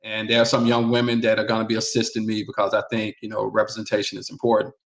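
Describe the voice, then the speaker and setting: Man, gravelly voice, low pitch, matter-of-fact guest. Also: completely flat delivery, fast, poor audio. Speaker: author and filmmaker guest. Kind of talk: casual interview chat.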